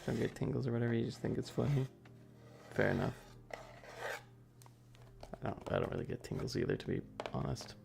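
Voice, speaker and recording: A man chatting casually and softly on an ASMR livestream, rambling with hesitant pauses and often slipping into breathy, whispery speech. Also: medium pitch, background noise. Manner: self-deprecating, nerdy. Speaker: ASMR streamer.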